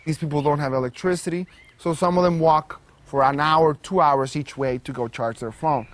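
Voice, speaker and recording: A young man from Guatemala City, a solar startup co-founder, giving an earnest, measured documentary interview. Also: on the high side. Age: young adult.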